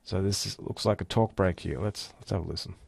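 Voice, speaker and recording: A man giving a casual, step-by-step software walkthrough as an instructor. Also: sits low, a little fast, vocal fry. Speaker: tutorial instructor. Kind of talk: screen-recorded software tutorial, casual walkthrough.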